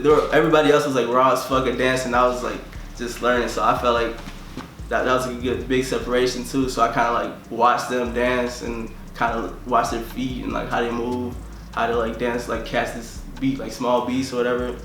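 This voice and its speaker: A young man from Tanzania talks loosely about himself, stringing phrases together with "like" over and over. His delivery stays level, with little rise or fall in pitch. The voice rings a little in an echoey room, with faint background hum. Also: flat delivery, moderate pace, fairly bright tone. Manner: rambling, fills nearly every phrase with "like". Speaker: musician being interviewed. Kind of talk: casual interview talk.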